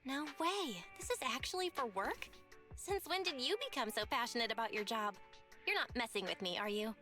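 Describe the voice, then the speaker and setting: A woman voicing a perky, teasing young shop-running proxy in a video game, very expressive. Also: fairly high pitch, fairly quick, soft background music.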